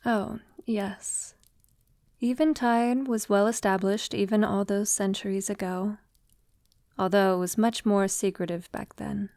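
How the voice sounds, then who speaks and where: A woman playing a centuries-old vampire lover in an intimate audio roleplay, tender, wistful and confiding, softly spoken with lapses into whispering. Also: mid-range voice, steady tone, no echo, studio quality, lightly acted. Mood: calm, proud. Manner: soft, unhurried, breathy lapses into whispering.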